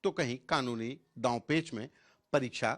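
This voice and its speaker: A man's voice: medium pitch, moderate pace, fairly bright tone. A man narrating a scripted television news report as a reporter.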